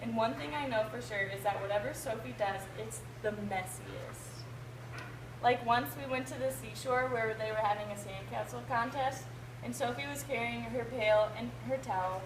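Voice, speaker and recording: A woman with a slightly high voice reads a children's book as a teacher would to a class. She stresses and stretches key words. The sound is echoey and a bit distant, with noticeable noise. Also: normal speed. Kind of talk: expressive picture-book read-aloud. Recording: echoey, noisy room, distant microphone.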